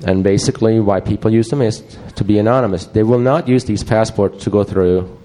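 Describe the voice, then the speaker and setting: A man's voice: fairly low pitch, a little fast, dark tone. A man with a Swedish accent giving a live presentation to an audience, talking loosely with wry asides and frequent restarts. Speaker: conference presenter.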